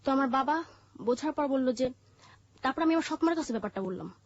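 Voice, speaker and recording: A woman with a Bangladeshi accent telling her life story in an interview, haltingly, with pauses and repeated phrases. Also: sits high, lively intonation, slight background noise. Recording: muffled, dull lo-fi audio.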